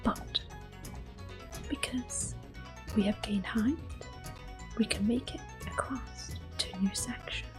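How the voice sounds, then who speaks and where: A woman doing ASMR gameplay commentary in a soft, breathy, gentle voice, mostly whispered. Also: mid-range voice, music in the background. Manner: gentle, unhurried. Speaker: game streamer.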